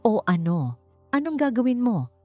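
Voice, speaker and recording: A woman's voice: a little high, sing-song, normal speed, mellow, faint music behind, voice pushed up. A woman voicing a smirking criminal man in an audiobook drama, with a mocking, gloating manner. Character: smirking, taunting male criminal villain.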